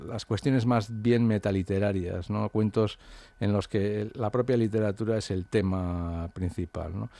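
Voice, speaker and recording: A man's voice: on the low side, creaky, warm tone, no room sound. A man, an author from the Basque Country, talking through his ideas in an interview, hesitant and reflective with many fillers and restarts. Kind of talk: interview conversation.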